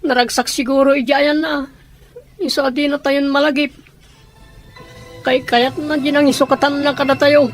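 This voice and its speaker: Woman playing a glum, high-voiced older brother. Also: flat delivery, normal speed, pauses often, faint music behind, close to an everyday voice. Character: older child brother, hurt, resigned, quietly bitter. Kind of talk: radio drama acting.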